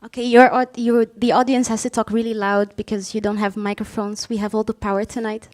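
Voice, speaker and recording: A woman's voice: a little high, flat delivery, fairly quick, darkish tone. A woman moderating a live panel, asking questions and restating the answers to check that she understood them. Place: stage talk before an audience, no microphones.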